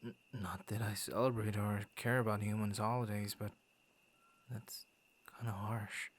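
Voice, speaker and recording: A man playing a gentle, shy young werewolf in an intimate ASMR roleplay, speaking softly and breathily, often near a whisper, with hesitant stammers. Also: fairly low pitch, steady tone, a little slow, slightly rough. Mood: surprised, awkward. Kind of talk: ASMR roleplay, intimate soft-spoken acting.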